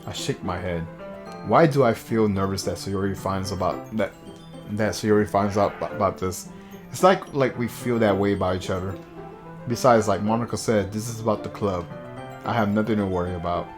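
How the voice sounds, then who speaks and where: A man with a fairly low voice reads visual novel text aloud for a stream. He drops in casual asides and trips over words now and then. Guitar music plays clearly behind him. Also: moderate pace. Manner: stumbles over words while reading. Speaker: game streamer.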